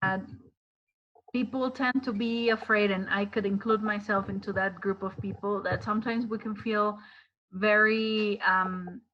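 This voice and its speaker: A woman's voice: medium pitch, fairly even intonation, unhurried, a bit bright. Woman with a Spanish accent, lo-fi audio. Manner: careful, hesitant phrasing. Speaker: podcast guest. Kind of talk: casual conversation.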